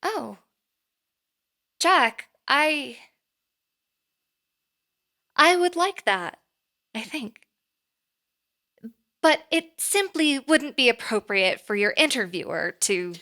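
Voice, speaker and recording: A woman playing a poised, reserved boss in an audio drama, speaking formally and in a measured way. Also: high voice, expressive, bright, forceful tone, full character voice. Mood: hesitant, fond.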